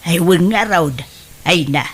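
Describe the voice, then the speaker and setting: A woman with an Ilocano Filipino accent playing a doting, pious elderly mother in an audio drama, fond and drawn-out. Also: fairly low pitch. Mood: fond, grateful.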